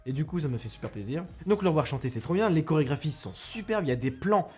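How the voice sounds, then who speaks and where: A man's voice: a little high, expressive, fast, darkish tone, soft background music. A young man giving a casual spoken film review, rambling and self-mocking with many restarts, close to a microphone.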